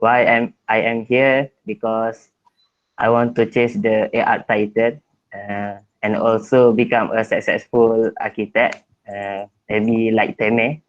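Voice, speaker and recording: A young Malaysian man, a student, introducing himself slowly with many fillers. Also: lowish voice, little pitch movement. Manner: slow, hesitant, many fillers. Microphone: video call. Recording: muffled lo-fi call audio.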